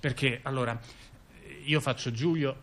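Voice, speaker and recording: A man's voice: medium pitch, steady tone, a bit bright. A man telling a story in a theatrical, improvised-sounding way, stumbling into repeats and restarts and often adding short tag questions. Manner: halting, repetitive, stammered restarts and frequent tag questions. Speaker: monologue performer in a two-person radio theatre dialogue.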